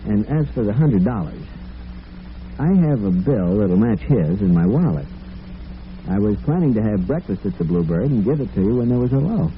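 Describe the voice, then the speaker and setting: An actor playing a kind, earnest bachelor in an old-time radio drama, courteous and reassuring. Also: male voice, lowish voice, animated, moderate pace, narrow, tinny audio, natural voice. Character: kind, earnest bachelor wooing a young woman. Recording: humming, noisy old radio recording.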